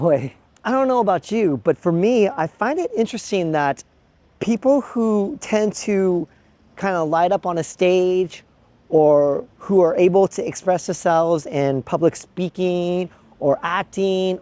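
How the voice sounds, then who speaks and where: A man from the American Midwest talking thoughtfully to his handheld camera outdoors, pausing and restarting as he muses. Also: sits high, mellow. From Midwest United States. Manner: thoughtful and musing, with frequent pauses and restarts. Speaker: travel vlogger. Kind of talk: reflective vlog monologue to camera. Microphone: handheld pocket gimbal camera.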